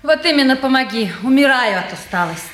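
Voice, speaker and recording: A mocking, sharp-witted young woman in an old stage drama. Her fairly high voice carries irony and teasing. The recording is a roomy 1950s theatre production. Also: animated, quick pace, a little hiss or hum. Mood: weary, curt. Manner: ironic, teasing. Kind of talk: mid-century Russian stage acting.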